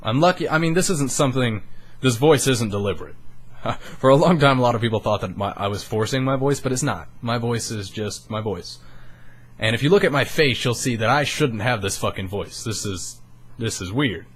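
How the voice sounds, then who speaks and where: A man's voice: mid-range voice, animated, normal speed, slightly rough. Young man talking candidly to his mic. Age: young adult. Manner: earnest, rambling, swears casually. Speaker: YouTuber. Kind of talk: candid personal vlog. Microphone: studio microphone.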